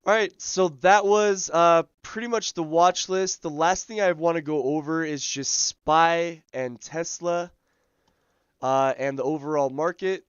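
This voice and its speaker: A man hosting a live day-trading stream, his voice scratchy as he loses it, talking through charts in a relaxed way full of ums and uhs. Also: fairly high pitch, a little slow, clean tone, dry recording, muffled recording. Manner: relaxed, filler-heavy, thinking aloud. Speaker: day trading livestream host. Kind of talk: live market commentary.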